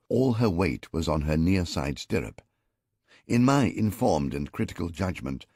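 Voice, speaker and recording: A man with a very deep, gravelly voice reads an audiobook in the first person. His delivery is measured and wry, with lively rises and falls in pitch, recorded dry and close with a husky edge. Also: dry recording. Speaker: audiobook narrator.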